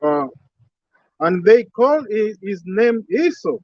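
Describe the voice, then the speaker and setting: A man reading verses aloud at an outdoor street sermon, halting and restarting, chiming in with short agreements. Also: high-pitched, sing-song, a little mellow, no echo, dull audio. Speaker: scripture reader.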